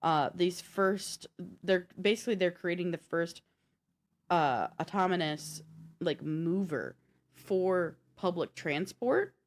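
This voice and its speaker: Female podcast co-host, slightly low voice, informal and slangy, talking off the cuff about tech. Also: slow pace. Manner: informal, slangy, thinking aloud. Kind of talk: casual tech and games chat.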